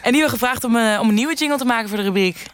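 Actress as a fast, flustered eager woman presenter. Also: on the high side, no echo, close to an everyday voice. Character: eager, flustered female radio section presenter. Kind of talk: mock studio chat.

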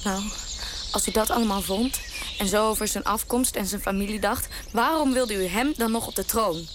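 A woman's voice: medium pitch, moderate pace, background noise, lightly acted. An actress playing a young princess who earnestly questions her grandmother. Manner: earnest, disbelieving.

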